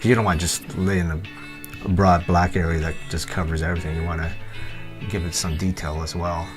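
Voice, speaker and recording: Low-voiced man giving a halting tutorial over guitar. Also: level tone. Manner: hesitant, halting, thinking aloud. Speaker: digital art tutorial presenter. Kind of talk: unscripted software tutorial voice-over. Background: guitar music.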